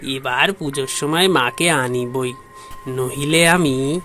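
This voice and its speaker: A man's voice: medium pitch, slow pace, sharp tone, soft background music. A man playing a humble, anxious old father in an audio story, speaking hesitantly and deferentially. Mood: resolute. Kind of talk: audio story dialogue acting.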